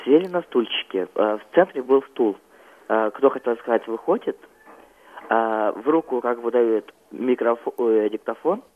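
A teenage male student being interviewed as a radio guest, recounting his experiences haltingly and searching for words. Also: mid-range voice, narrow, tinny audio.

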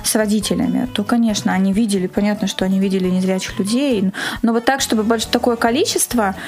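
A woman in a casual interview, recounting an event as its organizer in an animated storytelling way. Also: mid-range voice, fast. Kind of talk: casual spoken interview recollection.

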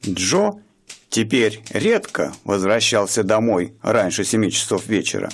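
A man's voice: fairly low pitch, a little slow, a little hiss or hum. A man narrating a radio play in a scripted, storytelling way. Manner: expressive storytelling.

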